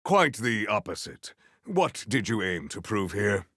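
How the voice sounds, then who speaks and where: A man voicing a big, formal, earnest warrior in a video game, with stately, measured phrasing and a solemn temper. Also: very animated, a bit bright, no echo, clean studio sound, exaggerated voice. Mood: measured, grave. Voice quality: deep, gravelly.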